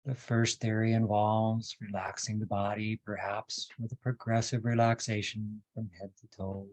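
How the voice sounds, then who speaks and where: A man leading a guided meditation as a teacher, speaking in calm, deliberate, measured phrases with long pauses. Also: lowish voice, low-quality recording.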